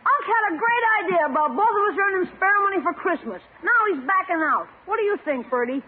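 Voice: very high-pitched, expressive, fast talker. A performer playing a cheeky, wisecracking schoolboy nephew with brash, slangy retorts in a 1950s radio sitcom. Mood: indignant. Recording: tinny, noisy old radio recording.

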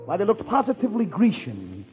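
A man's voice: sits high, wildly swooping pitch, a little fast, phone-quality sound, soft background music. A man playing an overwrought, gushing suitor in a florid mock love speech on 1940s radio, on a vintage recording. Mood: gushing, rapturous. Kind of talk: 1940s radio comedy monologue.

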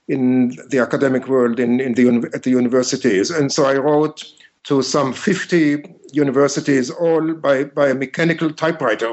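An older man from Israel with an Israeli accent, telling anecdotes haltingly as an interview guest. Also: mid-range voice, darkish tone. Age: 70s. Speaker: interview guest, author and retired mathematics teacher. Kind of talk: unscripted anecdotal interview talk. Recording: dull, lo-fi long-distance call.

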